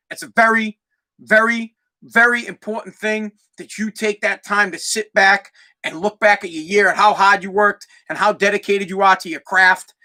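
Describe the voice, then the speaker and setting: Loud, brash Boston man ranting. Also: high voice. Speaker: podcast host. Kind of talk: solo podcast rant.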